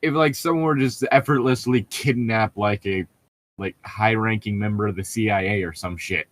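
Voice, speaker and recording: A man's voice: fairly low pitch, moderate pace, no room sound. A man co-hosting a comedic read-aloud, bantering casually with sarcastic, joking, profane commentary. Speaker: co-host reading and mocking fan fiction.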